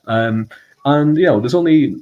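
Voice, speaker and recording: A man's voice: mid-range voice, unhurried, phone-quality sound. A man with a strong Mancunian accent hosting a casual live-stream chat, talking in a rambling way with many fillers and restarts. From England and Ireland. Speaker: host.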